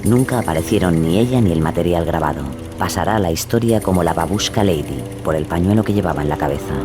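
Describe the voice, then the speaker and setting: A man from the mainland school of narration, with a very low voice. He reads suspenseful documentary script deliberately, with music clearly behind him. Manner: deliberate, dramatic.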